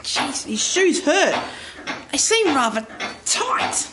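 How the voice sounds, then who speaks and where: A woman's voice: sits high, very animated, slow pace, full character voice. A woman playing a meek, doubtful and dutiful little man in a radio play, with a dry, hesitant manner. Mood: weary. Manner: dry, hesitant, long-suffering. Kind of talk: live radio theatre. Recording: noisy live radio studio recording.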